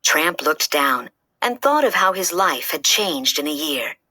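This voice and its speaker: A woman reads a children's story aloud in a lowish, bright voice. Her delivery is lively and expressive, moving freely up and down in pitch, and the recording is close and dry. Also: measured pace, no echo.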